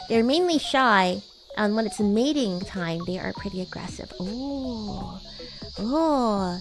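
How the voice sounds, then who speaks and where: A woman's voice: medium pitch, animated, takes it slowly, music in the background, rough recording. A woman voicing a bratty, sweet young demon girl in casual roleplay chat, with hesitant, girlish delivery. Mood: amused. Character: bratty, sweet young female demon classmate from another world. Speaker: VTuber streamer.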